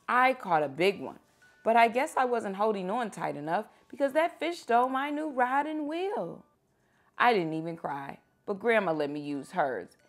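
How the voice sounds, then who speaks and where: A woman reading children's books aloud like a teacher at story time, with expressive, animated phrasing for young listeners. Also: medium pitch. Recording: music behind the voice.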